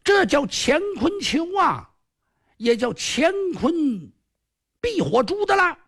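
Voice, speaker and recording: Man putting on a shrill boastful sorcerer-fighter. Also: big pitch swings, slow, a bit bright, full character voice. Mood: scheming. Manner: shrill sing-song drawl ending lines with a lilting "la". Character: boastful cunning male martial artist sorcerer. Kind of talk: traditional storytelling performance.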